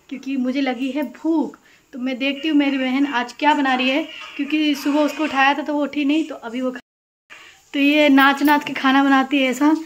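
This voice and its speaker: A young woman talks in a chatty, rambling vlog style. She records on a phone held at arm's length at home, with noticeable ambient noise behind her. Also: high voice. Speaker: housewife vlogger. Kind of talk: casual chat to camera. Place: small home rooms, kitchen and balcony. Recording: clear background noise, household ambience.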